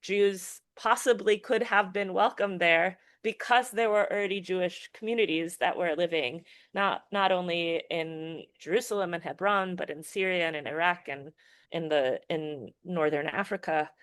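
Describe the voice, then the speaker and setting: A woman's voice: lowish voice, moderate pace, fairly bright tone, smooth, dry recording. A woman filmmaker talking through her work in a casual podcast interview, thoughtful and full of restarts and fillers. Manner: thoughtful, restarts often, many fillers like "you know". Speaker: filmmaker and educator guest.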